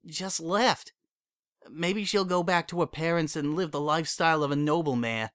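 A man voicing a heartbroken young female pony who speaks in a cold, flat tone that breaks into sobbing, in a dry recording. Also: fairly high pitch, moderate pace, clear, resonant tone, no echo, voice pushed up, close to an everyday voice. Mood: flat, bitter.

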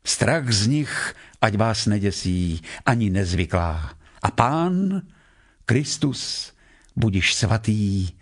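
Male audio Bible reader, lower register, solemn and deliberate, clear music bed underneath. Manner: measured, deliberate phrasing. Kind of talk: solemn scripted scripture reading. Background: background music.

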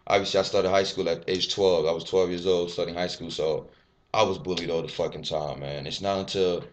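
Young man from Ivory Coast, French first language, low voice, rapid candid webcam vlog, faint hiss. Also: fairly even intonation. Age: 20s. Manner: candid, rambling, swears freely, gestures a lot. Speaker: fitness vlogger. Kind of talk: casual personal vlog to camera. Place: small bare indoor room. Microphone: webcam at desk.

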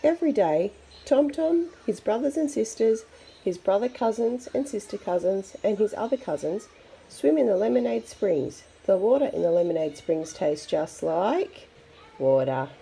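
A woman reading a picture book aloud to young children in an expressive, chatty way. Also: medium pitch, normal speed, vocal fry, husky, low-quality recording. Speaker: storybook reader for children.

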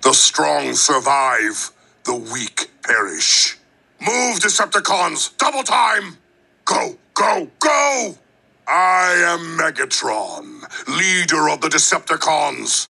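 An actor playing a tyrannical, ruthless giant robot warlord with a gravelly, raspy voice, barking commands. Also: male voice, fairly high pitch, very animated, slow pace, pushed and bright, exaggerated voice. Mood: commanding.

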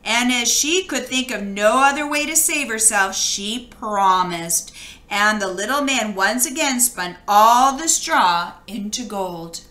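A woman reading a children's storybook aloud with expressive, dramatic storytelling. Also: fairly high pitch, slow, reverberant. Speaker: children's book read-aloud reader.

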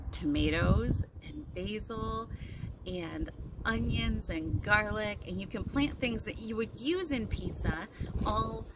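A woman in her thirties hosting a children's storytime outdoors, talking casually and encouragingly to young kids as she explains activities. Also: mid-range voice. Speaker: children's librarian and storytime host. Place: outdoors on a backyard deck. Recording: outdoor recording with wind and ambient noise.

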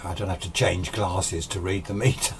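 A man's voice: deep voice, moderate pace. A British man in his sixties giving an informal technical explanation in a casual, rambling, self-deprecating way, as a hobbyist presenter. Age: 60s. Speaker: amateur radio hobbyist presenter. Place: small indoor home radio workshop. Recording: steady background noise.

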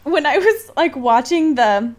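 A woman with a fairly high voice talks as a podcast co-host. She explains things in a loose, chatty way, with informal wording and the odd swear word. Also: animated, a little slow. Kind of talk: casual film discussion chat.

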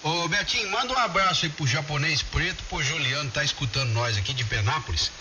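A man's voice: on the high side, expressive, a little fast. A Brazilian man in a casual, colloquial radio chat with friends, heard through a shortwave ham radio transmission. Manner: colloquial, addresses friends by name. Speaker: amateur radio operator in a group contact. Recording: muffled, hissy, very noisy shortwave radio.

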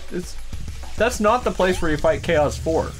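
A man with a lively, swinging intonation chats casually as a let's play co-host. Faint game music sits behind his voice. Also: high-pitched, a little slow. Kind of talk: casual gameplay chat.

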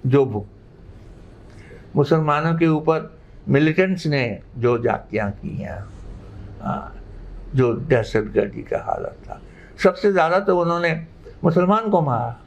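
A man, explaining things haltingly but emphatically as an interview guest. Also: a little high, lively intonation, gravelly, slight background noise. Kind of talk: unscripted interview answers. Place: living room indoors. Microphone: lapel mic. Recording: slightly muffled sound.

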